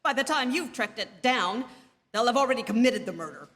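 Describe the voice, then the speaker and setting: Casting: woman playing high-pitched, imperious invalid wife, nervy and stammering, classic radio suspense, performed in a reverberant theatre. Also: expressive, fairly quick, a bit bright, slight background noise. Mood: indignant insistence. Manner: agitated, stammering, haughty, indignant. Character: nervous, imperious bedridden wife, fretful and insistent. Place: live theatre stage. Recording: long hall reverb from theatre stage.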